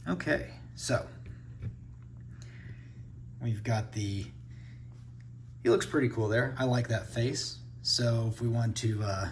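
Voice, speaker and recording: A man's voice: medium pitch, takes it slowly, pauses often, a little hiss or hum. A man giving a casual unboxing review, thinking aloud as he handles the toys. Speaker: toy reviewer.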